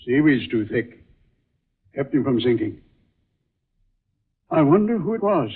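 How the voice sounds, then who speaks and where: An actor playing a solemn, dramatic man in an old-time radio drama, speaking in a deliberate, theatrical way. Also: mid-range voice, big pitch swings, husky, exaggerated voice. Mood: tense. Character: solemn male film star, grave and dramatic. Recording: muffled, tinny vintage radio recording.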